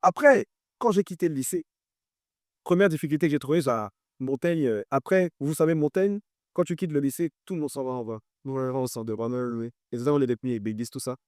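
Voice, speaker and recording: A man's voice: mid-range voice, a little fast, darkish tone, no echo, studio quality. A man in his twenties with a French accent, a guest artist talking casually in an interview and repeating phrases for emphasis.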